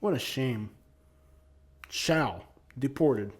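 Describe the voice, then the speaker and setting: A man's voice: medium pitch, expressive, very slow. A man hosting an opinion channel, delivering blunt, emphatic commentary that keeps repeating its points. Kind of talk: opinionated commentary on arrest records.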